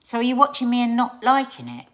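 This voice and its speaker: A woman's voice: fairly high pitch, big pitch swings, quick pace, a bit bright. A middle-aged woman hosting a casual livestream, chatting with viewers, often trailing off and restarting her sentences. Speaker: livestream host.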